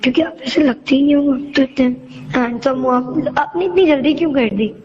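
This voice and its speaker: A woman's voice: sits high, a little fast, a little mellow, in a large hall, slight background noise. A young woman, a student on a private phone call to her teacher, speaking in a hesitant, pleading way on a muffled phone recording. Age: young adult.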